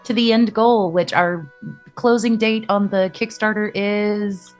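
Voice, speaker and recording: A woman's voice: mid-range voice, fairly even intonation, unhurried, soft background music. A woman speaking on a podcast in a casual, promotional chat style.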